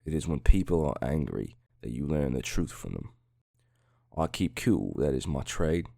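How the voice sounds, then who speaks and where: Man playing low, haughty, cool-headed police detective. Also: big pitch swings, vocal fry, mellow, no echo, studio quality, heavily acted. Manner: measured, haughty, blunt. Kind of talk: dramatic audiobook reading.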